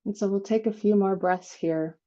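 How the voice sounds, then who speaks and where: A woman leading a guided spiritual meditation, speaking in unhurried phrases with long pauses between them. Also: mid-range voice, warm tone, dull audio.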